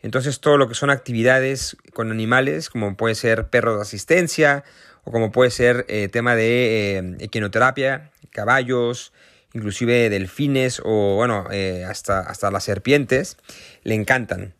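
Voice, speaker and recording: A man's voice: mid-range voice, a little slow, a bit bright, no echo. Earnest Mexican man, dry close sound. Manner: earnest, thoughtful, with frequent hesitations. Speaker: guest parent, association founder. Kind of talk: recorded video message.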